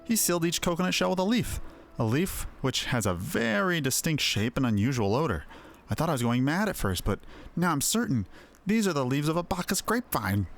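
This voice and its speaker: A man voicing an earnest, thoughtful winemaker in fantasy game dialogue, with an expressive, emphatic delivery. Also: a little high, brisk, creaky. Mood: excited, urgent. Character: earnest male winemaker, scarred, thoughtful, excitable about vines. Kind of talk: game quest dialogue read aloud. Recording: music under the voice.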